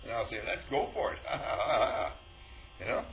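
Man, spiritual teacher, animated storyteller, mid-pitched voice, faint background hiss. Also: a little slow. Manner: animated storyteller, acts out dialogue, chuckles often. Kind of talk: informal spiritual talk.

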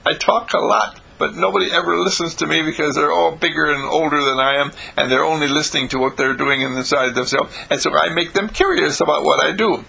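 A man's voice: fairly high pitch, a little fast, gravelly, few pauses, bright, forceful tone, husky, full character voice, poor audio. A man voicing an earnest, chatty four-year-old boy who speaks in repetitive, childlike phrases.

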